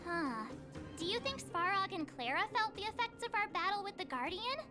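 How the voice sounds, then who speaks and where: A woman's voice: very high-pitched, sing-song, normal speed, over music. A woman playing a perky, outspoken teenage girl adventurer in a video game, bubbly and casual. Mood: curious, chatty.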